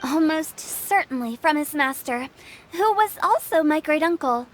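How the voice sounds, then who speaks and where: High-pitched breezy young funeral director. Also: female voice, animated, a little slow, slight background noise. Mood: breezy explaining. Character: young female funeral parlor director, breezy and matter-of-fact. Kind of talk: video game voice acting. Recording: music under the voice.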